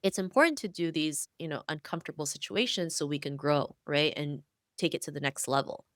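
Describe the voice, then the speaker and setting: A woman's voice: sits low, a little fast, dry recording, clean studio sound. A woman guest in a podcast interview, speaking in an earnest, fluent, motivational way. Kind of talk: conversational interview.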